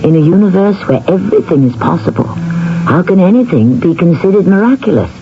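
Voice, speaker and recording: A man's voice: high-pitched, expressive, brisk, a little hiss or hum. A man hosting an old-time radio mystery, narrating from a script in a musing, theatrical way full of rhetorical questions. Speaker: host and narrator. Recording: muffled vintage radio broadcast. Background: music.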